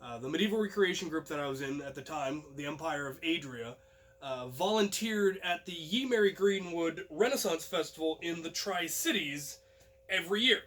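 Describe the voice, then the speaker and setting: Male storyteller, young adult, high-pitched voice, casual first-person anecdote delivery for an online audience. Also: animated, moderate pace, fairly bright tone. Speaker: host and storyteller.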